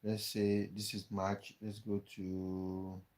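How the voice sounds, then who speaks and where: A man's voice: fairly low pitch, monotone, slow pace, a little mellow. A man from Cameroon, hosting a casual live stream, chatting with viewers and often repeating words as he thinks aloud. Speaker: livestream host.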